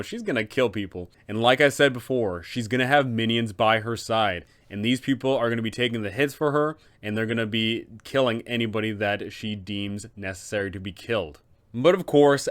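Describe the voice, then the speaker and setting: A man's voice: mid-range voice, fairly bright tone, smooth. A man hosting an explanatory commentary as a game master, with emphatic, dramatic storyteller phrasing. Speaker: host, tabletop game master giving advice. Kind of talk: explanatory video commentary on role-playing villains.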